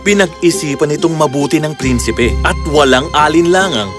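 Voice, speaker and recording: High-pitched male storyteller over music. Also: normal speed. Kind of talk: scripted children's story narration. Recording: music clearly behind the voice.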